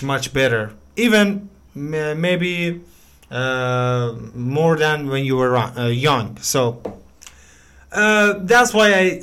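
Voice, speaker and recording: Encouraging young man, smooth voice. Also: medium pitch, fairly bright tone. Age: 20s. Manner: encouraging, hesitant with many fillers and restarts. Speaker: English learner giving feedback. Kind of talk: casual voice message.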